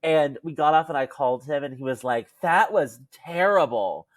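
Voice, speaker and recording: A young American woman with a low voice and lively, swinging intonation tells casual stories as a podcast co-host. She acts out bits of dialogue with expressive exasperation, recorded dry and close. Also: moderate pace, no echo. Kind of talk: casual chat, storytelling.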